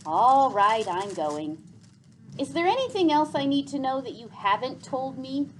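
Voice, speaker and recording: A woman's voice: high-pitched, big pitch swings, a little slow, a little hiss or hum, voice pushed up. A woman voicing an anxious, bewildered boy in a storybook, blunt and full of questions. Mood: reluctant. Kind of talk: storybook read aloud.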